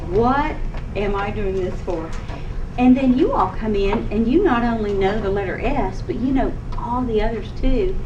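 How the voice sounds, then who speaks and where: A woman's voice: medium pitch, muffled recording. A woman teacher leading a classroom discussion in an encouraging, questioning way, recorded in a noisy room on a distant microphone.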